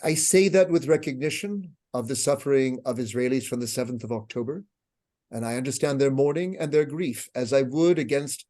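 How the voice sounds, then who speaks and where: A man with an American accent, hosting an awards ceremony with a measured, earnest, grave speech. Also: mid-range voice, mellow. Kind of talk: solemn formal speech. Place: online video event.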